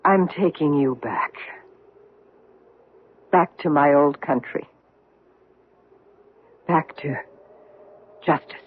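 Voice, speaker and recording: An actress in a 1970s radio drama playing a woman survivor who calmly accuses a war criminal, in an intense, measured way. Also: low-pitched, slightly rough, heavily acted. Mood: resolute. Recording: narrow tinny 1970s radio broadcast.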